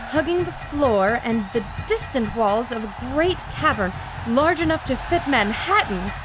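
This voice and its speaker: Woman as a high-voiced adventuring reporter. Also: close to an everyday voice, low-quality recording. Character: earnest adventuring woman reporter narrating into a recorder. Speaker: narrator. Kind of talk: dramatized field-report narration, audio drama.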